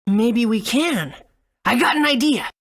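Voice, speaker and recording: A woman's voice: on the high side, animated, measured pace, slightly rough, exaggerated voice. A woman voicing an eager, good-natured young boy hero in a video game, earnest and agreeable.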